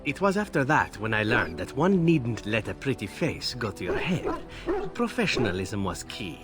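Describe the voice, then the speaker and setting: An actor playing a suave, roguish assassin in a video game, telling tales wryly and theatrically. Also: male voice, medium pitch, very animated, normal speed, music in the background, close to an everyday voice. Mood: wry, nostalgic. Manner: theatrical, wry, lilting. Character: suave, roguish male elven assassin, wry storyteller. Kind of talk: video game character acting.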